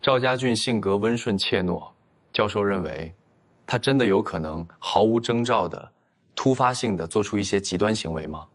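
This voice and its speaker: Man playing a shrewd, husky young student. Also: fairly low pitch, normal speed, natural voice. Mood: probing. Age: young adult. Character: seemingly innocent, shrewd young male student. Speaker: university student. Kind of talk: audio drama acting for an animated story.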